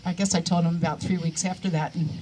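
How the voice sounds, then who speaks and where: A woman in her sixties giving a memorial tribute to a coworker, speaking haltingly with many pauses and fillers. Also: fairly low pitch, fairly even intonation, warm tone, a little hiss or hum. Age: 60s. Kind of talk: memorial remarks.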